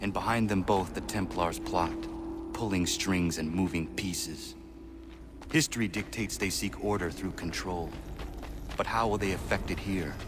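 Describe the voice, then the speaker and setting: An actor playing an earnest, righteous young half-Mohawk assassin in a video game, with formal, measured phrasing. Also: male voice, low voice, music in the background. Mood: brooding, resolute. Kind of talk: dramatic video game acting.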